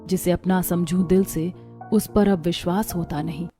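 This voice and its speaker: A woman's voice: fairly low pitch, fairly even intonation, moderate pace, mellow, soft background music, natural voice. A woman voicing a hurt, guarded young daughter-in-law in a family cartoon story. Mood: sad, fearful. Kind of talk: Hindi cartoon story acting.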